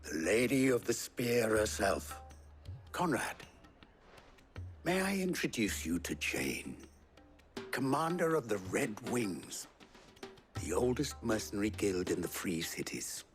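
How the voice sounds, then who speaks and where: A man playing a sly, smooth-talking advisor in a medieval fantasy game, with a theatrical delivery. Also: lowish voice, big pitch swings, slow pace, long pauses, soft background music. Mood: showy. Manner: theatrical, silver-tongued. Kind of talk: dramatic video game cutscene dialogue.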